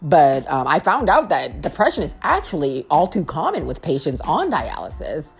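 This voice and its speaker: A young woman with a naturally low, deep-toned voice hosts her own podcast. She talks straight to her listeners in a casual, heartfelt way and repeats phrases to press her point home. Faint music plays behind her. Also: huge pitch swings, normal speed, vocal fry. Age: young adult. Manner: earnest, repeats phrases for emphasis. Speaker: podcast host.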